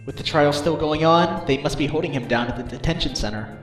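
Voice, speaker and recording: A man's voice: a little high, brisk, faint music behind, natural voice. A man in a game fan dub playing a good-natured defense lawyer with a wry, casual manner. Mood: wry, musing.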